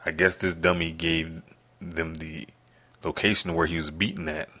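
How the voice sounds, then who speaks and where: A man with a deep voice keeps his volume down as he hosts a solo show from his apartment. His delivery is monotone but edged and forceful, and he rambles, stopping and restarting his sentences. The tone stays hushed and halting throughout. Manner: speaks softly, hushed; halting, many restarts and fillers. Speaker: podcast host. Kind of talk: rambling solo podcast monologue.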